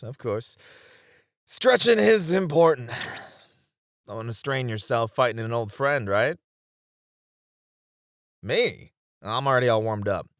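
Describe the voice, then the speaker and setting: A man's voice: mid-range voice, fairly bright tone, dry recording, studio quality. A man playing a confident, teasing knight bantering with an old comrade in an audio roleplay. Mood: relaxed, friendly. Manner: playful teasing with frequent chuckles. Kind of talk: ASMR romance audio roleplay, one-sided dialogue.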